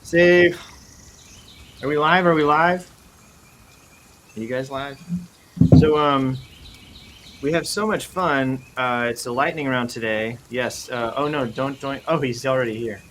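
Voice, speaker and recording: A man's voice: medium pitch, a little slow, long pauses, a bit bright. A man hosting a casual, jokey live show in a playful, theatrical, rambling way. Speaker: host. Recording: noisy, with nature sounds behind. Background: forest and nature ambience.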